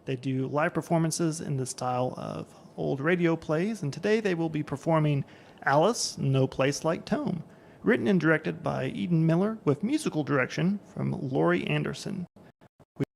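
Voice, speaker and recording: A man in his thirties introduces an event informally into a handheld microphone. His voice sits a little high and crackles with fry, with some faint noise in the room. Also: animated, normal speed. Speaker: reference librarian introducing a show. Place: large indoor library event room. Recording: noisy live room recording.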